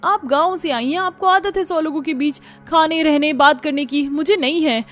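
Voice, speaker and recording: Cartoon family drama: irritable young city wife played by a woman, complaining and sarcastic, highish voice over faint music. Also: normal speed, close to an everyday voice. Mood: angry, defiant. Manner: sarcastic, grumbling. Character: irritable young city-bred daughter-in-law, sulky and complaining. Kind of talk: Hindi animated family-drama story acting.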